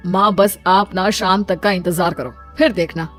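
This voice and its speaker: A woman's voice: on the low side, steady tone, normal speed, lightly acted. A woman plays a young cartoon husband, supportive and reassuring. The voice has music underneath it.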